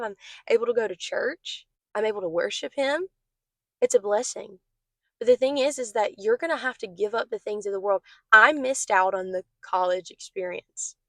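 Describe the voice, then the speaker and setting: A hoarse young woman giving an earnest, encouraging devotional talk straight to her viewers as host of her own channel. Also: mid-range voice, fairly bright tone, no echo, studio quality. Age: young adult. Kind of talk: casual devotional talk to camera.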